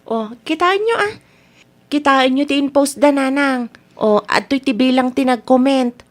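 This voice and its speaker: A woman's voice: high voice, measured pace. A woman playing a caring young daughter who looks after her family, in a radio drama. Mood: caring, encouraging. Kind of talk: radio drama acting in Ilocano.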